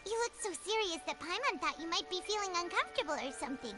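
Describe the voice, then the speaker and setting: A tiny floating fairy sidekick, with a piercingly high, squeaky voice that swings lively up and down. She chatters along with curious questions, and game music sits clearly behind her. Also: fast. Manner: chatty, inquisitive.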